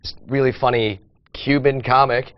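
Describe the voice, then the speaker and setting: A man's voice: medium pitch, little pitch movement, moderate pace, clean tone. A man in his thirties, a comedian guest on a TV morning show, telling jokey stories about his life. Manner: storytelling, jokey. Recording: dull TV broadcast sound.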